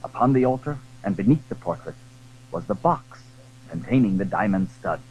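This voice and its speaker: A man narrating a dramatized period story in a formal, measured, expressive storytelling style. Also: on the low side, slightly rough. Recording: dull, hissy old audio drama recording.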